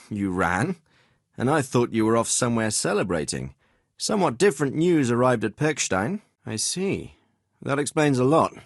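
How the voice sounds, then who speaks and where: An actor playing a middle-aged noble lord and commander in a video game, with a measured, formal and paternal manner. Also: male voice, medium pitch, dry recording, studio quality. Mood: matter-of-fact. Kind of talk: acted video game dialogue.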